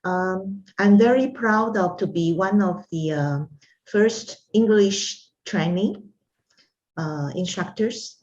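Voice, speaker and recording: A middle-aged woman from Taiwan whose first language is Mandarin, speaking as a guest in an interview with frequent fillers and pauses. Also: medium pitch, darkish tone, dull audio. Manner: hesitant, many pauses and fillers. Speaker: interview guest, art instructor. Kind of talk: casual interview conversation.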